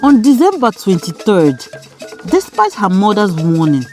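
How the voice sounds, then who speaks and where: A woman's voice: medium pitch, huge pitch swings, unhurried, darkish tone, faint music behind. A woman narrating a scripted folktale for children as a storyteller.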